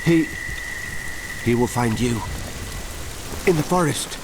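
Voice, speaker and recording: An actor playing a wary, agitated male villager in a dark fantasy video game. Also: medium pitch, expressive, slow. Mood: startled, urgent. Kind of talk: dramatic video game acting. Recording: noisy, rain over the voice. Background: rain.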